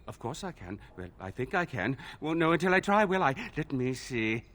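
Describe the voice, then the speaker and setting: An actor playing an excitable, temperamental perfectionist armorsmith in a fantasy video game, theatrical and fussy. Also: male voice, mid-range voice, normal speed. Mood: awed, eager. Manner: theatrical, fussy, gushing and petulant.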